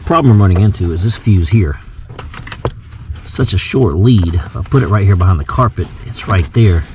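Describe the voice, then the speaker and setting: Low-voiced man narrating a hands-on car project. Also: animated, normal speed, a little mellow. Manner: folksy, thinking aloud. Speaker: vlogger demonstrating a car radio install. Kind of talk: casual how-to narration while working. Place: inside a vehicle. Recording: clear background noise in a vehicle.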